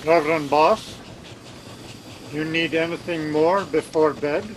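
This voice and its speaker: Man doing a slow, solemn foreign henchman. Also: a little high, steady tone, natural voice. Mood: deferential. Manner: broken English, solemn. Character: fiercely loyal foreign henchman speaking broken English. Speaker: radio play actor. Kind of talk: radio drama acting. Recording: noisy audio from inside a car.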